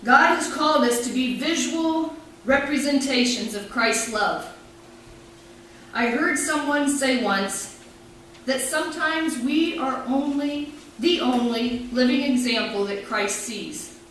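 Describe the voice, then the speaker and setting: A middle-aged woman pastor giving a sermon, telling her story in a measured, reflective way and reading scripture. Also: sits high, fairly bright tone. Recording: very echoey room, from far off, faint noise.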